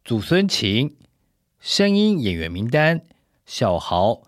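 A man's voice: medium pitch, sing-song, slow. A man reading out scripted credits as an announcer.

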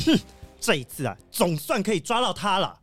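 Man to play wolf police sheriff, children's audio drama. Eager, high-pitched, very animated, polite, Taiwanese Mandarin accent. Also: a little fast, no echo, studio quality, soft background music, exaggerated voice. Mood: urgent, determined. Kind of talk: children's detective radio play acting.

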